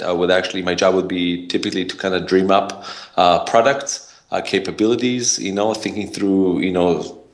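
A man from Israel with an Israeli accent, a podcast guest telling his story in a rambling way, full of fillers and restarts. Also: sits low. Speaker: podcast guest, startup founder. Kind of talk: casual interview talk.

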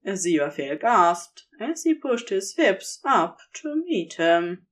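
A woman reading intimate fiction as a narrator, in a measured, expressive storytelling manner. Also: mid-range voice. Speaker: audiobook-style podfic narrator.

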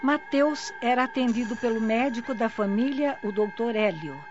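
A woman's voice: fairly high pitch, normal speed, over music. A woman reading scripted narration for a radio drama. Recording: dull lo-fi, noisy background.

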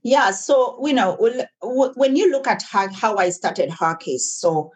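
A woman's voice: a little high, expressive, measured pace, darkish tone, slightly rough. A woman in her forties, born and raised in Uganda, talking earnestly as an interview guest about her businesses, often restarting her sentences. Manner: earnest, restarts and repeats words. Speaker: guest, entrepreneur. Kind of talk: casual interview conversation.

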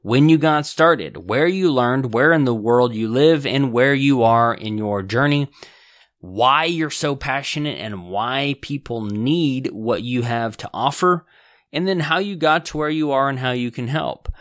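A man hosting a business podcast, explaining website setup in a casual, conversational way with folksy asides and quick self-corrections. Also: medium pitch, fairly bright tone, no echo, clean studio sound. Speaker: podcast host and business author. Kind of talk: casual instructional podcast talk.

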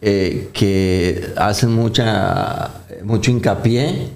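A man's voice: fairly low pitch, drawn-out words, darkish tone. A Colombian doctor with a slight accent, talking through his career as an interview guest with many fillers and restarts. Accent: Colombian, softened by years in the Dominican Republic. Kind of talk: casual interview talk.

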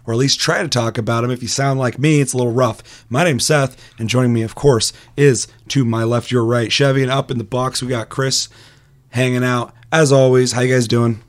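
A young man with a hoarse, raspy voice, hosting a casual gaming podcast with chatty, self-deprecating humor. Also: medium pitch, fairly even intonation, a little fast. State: hoarse, recovering lost voice. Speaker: podcast host.

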